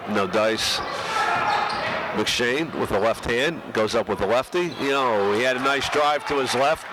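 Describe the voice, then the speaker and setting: A man with a head cold, a little nasal and hoarse, calling a high school basketball game play by play with frequent restarts. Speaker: play-by-play announcer. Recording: loud crowd and gym noise behind. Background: crowd and bouncing basketball.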